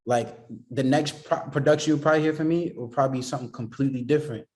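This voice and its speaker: A music producer chats in an interview at an easy, middle pitch, circling around his points and leaning on "you know what I mean" as he talks. He is a man from the Boston area, relaxed and informal. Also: quick pace. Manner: laid-back, rambling, many fillers like "you know what I mean". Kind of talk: casual interview chat.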